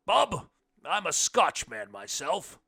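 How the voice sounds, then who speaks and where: A man voicing a hearty, folksy blind man in a story, with a big, loud voice and drawn-out words. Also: wildly swooping pitch, gravelly, slightly rough, exaggerated voice. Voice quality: big, booming voice.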